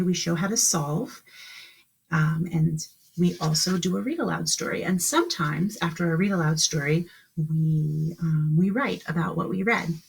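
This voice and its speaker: Woman teaching young kids, lower-pitched voice. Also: creaky, husky. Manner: encouraging, addresses children as friends, simple questions. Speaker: kindergarten teacher. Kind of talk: live-streamed lesson for young children.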